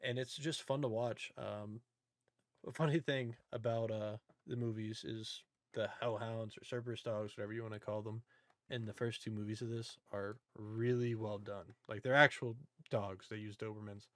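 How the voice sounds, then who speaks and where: A man's voice: lowish voice, steady tone, normal speed, a bit bright, no room sound, clean studio sound. A man hosting a podcast, talking casually about films in an informal, joking recap style. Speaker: podcast host. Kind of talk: casual movie discussion.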